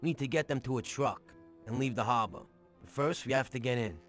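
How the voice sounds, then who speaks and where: An actor playing a cocky, excitable mob henchman in a video game cutscene, blunt and slangy, over music. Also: medium pitch, brisk, lightly acted. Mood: cocky, explaining. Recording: background music under the dialogue.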